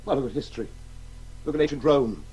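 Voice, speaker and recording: A man's voice: mid-range voice, quick pace, darkish tone, husky, muffled recording. A man with a British accent playing a brooding, argumentative, eccentric husband in a radio drama. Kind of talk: 1980s radio drama acting.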